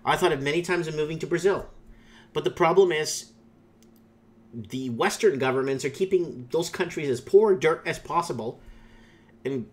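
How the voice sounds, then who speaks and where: Male channel host, Portuguese native, slightly high voice; loose, opinionated, filler-heavy commentary. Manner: rambling, opinionated, many fillers and restarts. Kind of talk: casual commentary reading viewer comments.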